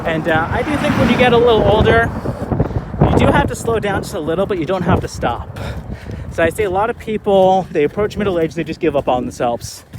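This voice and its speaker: High-voiced man rambling outdoors. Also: brisk, heavy background noise. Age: 30s. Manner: relaxed, rambling, many fillers. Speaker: vlogger. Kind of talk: casual vlog monologue. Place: outdoors by a lagoon, skating.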